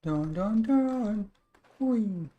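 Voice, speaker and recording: A woman's voice: medium pitch, animated, drawn-out words, highs cut off. A woman doing casual gameplay commentary as a streamer, with loose, hesitant phrasing. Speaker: game streamer.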